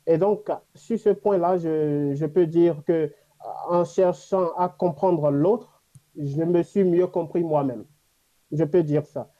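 A man from Africa whose first language is a Gbe language, talking slowly and thoughtfully with frequent fillers. His voice is very dark and mellow and a little higher than usual. The recording is dull and lo-fi. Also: fairly even intonation. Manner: hesitant, many fillers, reflective. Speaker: guest. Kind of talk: casual interview answers.

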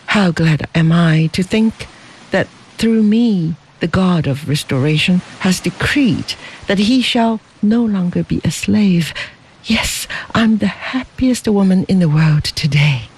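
A reader voicing a gentle, devoted young wife in a storybook, speaking softly, tenderly and earnestly. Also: medium pitch, a little hiss or hum, low-quality recording.

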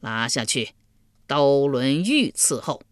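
A stern old King of Hell rules over the dead in a spoken storybook tale, put on by a woman. He speaks slowly, low and commanding. The recording is close and dry. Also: sing-song, dry recording, full character voice. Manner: slow, booming, commanding.

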